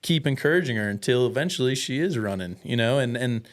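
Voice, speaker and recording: A man's voice: mid-range voice, animated, fast talker. Earnest American man, casual and slangy. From United States. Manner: informal slangy talk, earnest and self-deprecating. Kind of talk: casual, candid personal storytelling.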